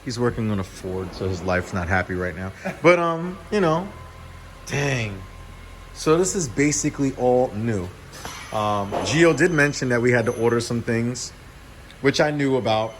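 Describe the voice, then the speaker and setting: A man's voice: medium pitch, expressive, moderate pace, slight background noise, poor audio. A man in his thirties hosting a car vlog, talking casually and often correcting himself mid-sentence. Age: 30s. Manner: casual, with restarts and self-corrections. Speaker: car channel host. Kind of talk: casual shop-floor vlog. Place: auto repair shop, indoors.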